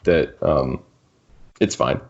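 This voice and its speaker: A man's voice: very deep voice, takes it slowly, vocal fry. A man co-hosting a podcast in casual conversation, with wry humor, on slightly muffled audio. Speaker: podcast co-host. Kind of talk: casual chat.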